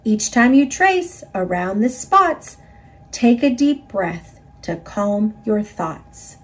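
A woman narrating a children's picture book in an expressive, instructive read-aloud style for young listeners. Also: medium pitch, slow, slightly rough.

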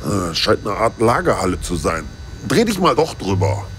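Grumbling sidekick, low and lively, reverb and music behind. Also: male voice, moderate pace, background noise. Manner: grumbling, complaining. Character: grumbling adult male sidekick. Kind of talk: audio drama acting. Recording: music bed and long reverb.

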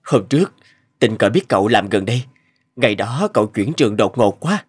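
Cartoon role: earnest adult man, somewhat hesitant, very expressive intonation, average register. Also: on the low side, moderate pace, slightly rough, dry recording. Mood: puzzled. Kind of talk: cartoon dubbing.

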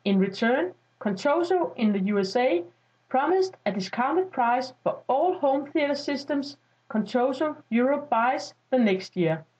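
A woman reading a scripted step-by-step software tutorial as a voice-over, in an instructional style. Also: sits high. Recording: dull lo-fi voice-over.

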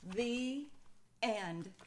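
An older American woman in her sixties reads a children's picture book aloud with expressive, folksy Western storytelling. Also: a little high, extremely slow, background noise. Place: indoor room at home.

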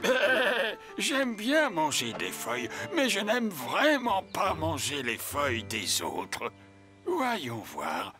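A man voicing a gentle, wise old goat in a children's cartoon, musing and humming between phrases. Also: fairly high pitch, wildly swooping pitch, full character voice. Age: older. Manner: slow, hesitant with hums and musing pauses. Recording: music behind the voice. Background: music.

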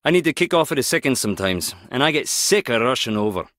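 An actor playing a wry, guarded skip tracer in a video game, teasing yet protective, speaking in a clipped, conversational way. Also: male voice, mid-range voice, very animated, fast talker, fairly bright tone, no room sound, studio quality. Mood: wry, chatty. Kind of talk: video game character dialogue.

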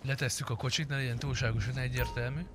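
A man's voice: medium pitch, steady tone, heavy background noise. A man chatting casually and crudely, with lots of swearing, while streaming a roleplay video game.

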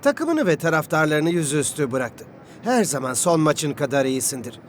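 An arrogant cartoon football rival, a little high in pitch. He is scornful and taunting, with swooping intonation. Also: very animated, normal speed, a little hiss or hum. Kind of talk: animated series dub.

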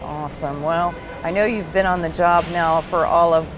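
A woman's voice: fairly low pitch, steady tone, normal speed, over music. A middle-aged woman asking conversational interview questions. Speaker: interviewer, publisher. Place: trade show booth, indoors. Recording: loud trade show floor noise.

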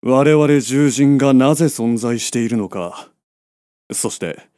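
A man's voice: medium pitch, unhurried, warm tone, dry recording, clean studio sound, lightly acted. A man playing a battle-worn wolf beastman ex-commander in an audio drama, curt and weighty. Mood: grave, commanding.

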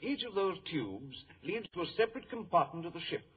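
A salty, blustering ship's captain in an old radio play. His fairly high voice swings emphatically through the lines, over a thin, band-limited recording with faint noise. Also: sing-song, a little fast, narrow, tinny audio. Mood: proud, firm. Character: gruff, blustering, worried ship's captain, man. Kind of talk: old-time radio drama acting.

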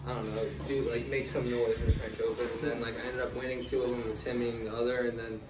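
A young man answering interview questions in a relaxed, casual way, full of fillers. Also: medium pitch, fast. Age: young adult. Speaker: guest, tennis player. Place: small room, in doors, seated in armchair. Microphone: far camera. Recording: roomy, distant, noisy.

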